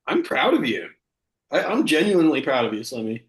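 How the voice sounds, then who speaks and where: A man's voice: mid-range voice, wildly swooping pitch, fairly quick. A man playing a patronizing know-it-all thespian in a comedy, effusive and theatrical in his show of support. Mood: gushing support. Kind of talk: improvised-sounding comic dialogue.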